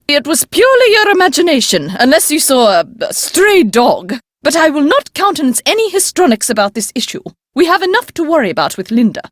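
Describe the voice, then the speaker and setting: Elderly English great-aunt, upper-class accent, high-pitched, very animated, prim, haughty, stern but fond, game dialogue. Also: female voice, no room sound, studio quality. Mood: indignant, scolding. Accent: English, upper-class British. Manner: haughty, proper, emphatic, theatrical. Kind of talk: video game character dialogue.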